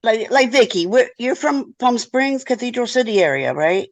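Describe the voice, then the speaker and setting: A woman's voice: a little high, lively intonation, moderate pace, dry recording. A woman from the American South with a Southern accent, interviewing a band in a chatty way and saying y'all a lot. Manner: chatty, says y'all, laughs easily. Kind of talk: casual interview.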